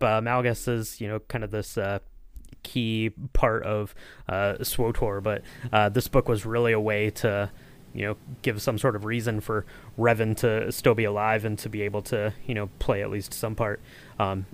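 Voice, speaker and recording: A man's voice: fairly low pitch, dry recording. Clean, dry-sounding man hosting casually. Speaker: podcast host. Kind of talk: casual chat.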